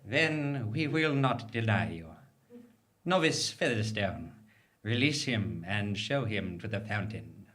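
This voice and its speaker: A man playing a solemn cult leader and narrator in a live comic horror radio drama, grandiose and measured. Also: on the low side, expressive, fairly bright tone. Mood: calm, formal.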